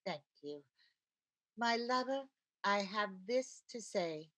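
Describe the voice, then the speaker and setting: Woman as a scatterbrained radio-comedy wife, sardonic, animated intonation, unhurried pace, theatrical timing. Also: mid-range voice. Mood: playful, sentimental. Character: wry, scatterbrained housewife in radio sitcom. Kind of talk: old-time radio sitcom acting.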